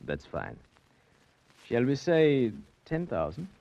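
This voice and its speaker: An actor playing a sinister, formal villain who talks slowly and chuckles, in an old radio drama. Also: male voice, medium pitch, huge pitch swings, vocal fry, full character voice. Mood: menacing. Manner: slow, formal, mocking, chuckling. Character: smooth sinister male foreign-sounding villain. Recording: dull old radio recording.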